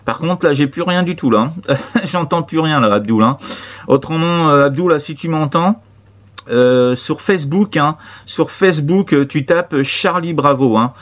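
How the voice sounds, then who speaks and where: A man chatting casually as a CB radio operator, halting and repetitive, with many short verbal tags. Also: fairly high pitch. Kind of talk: casual radio contact chat.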